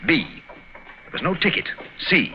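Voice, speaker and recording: An actor playing a brisk, confident gentleman detective with a clipped, authoritative delivery in a vintage radio drama. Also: natural voice. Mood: assured, deductive. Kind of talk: 1940s radio acting. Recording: muffled, noisy old radio recording.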